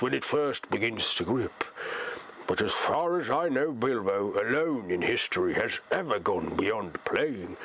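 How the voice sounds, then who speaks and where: A man's voice: fairly low pitch, a bit bright, a little hiss or hum, pitched below the performer's own voice. A Norwegian-accented man, whose first language is Norwegian, voicing a grave, wise old male wizard during a live reading. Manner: slow, solemn, weighty delivery.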